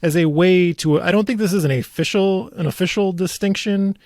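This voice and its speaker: A man's voice: high-pitched, a little fast, darkish tone, dry recording, clean studio sound. An American man talking casually as a talk show host about local politics, with a wry, sardonic manner.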